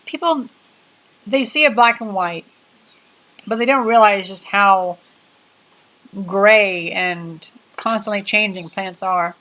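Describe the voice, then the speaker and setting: A woman's voice: mid-range voice, slow, long pauses, a bit bright, poor audio. Rambling woman in her twenties, livestreaming. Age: 20s. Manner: rambling, chatty, many fillers. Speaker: livestream host. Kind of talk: casual livestream chat. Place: home workshop.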